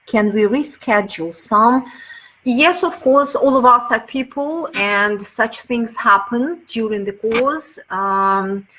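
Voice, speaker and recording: A woman's voice: fairly high pitch, slow pace, a bit bright, a little hiss or hum, poor audio. A woman with a Russian accent, a webinar speaker answering audience questions in a thoughtful, explanatory way over a video call. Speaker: webinar speaker, teacher trainer.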